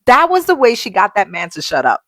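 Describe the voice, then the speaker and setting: A woman's voice: mid-range voice, wildly swooping pitch, a little fast, fairly bright tone, no room sound, clean studio sound. A middle-aged woman telling a story casually, emphatic and opinionated. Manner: emphatic, opinionated, acts out quotes. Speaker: social media commentator.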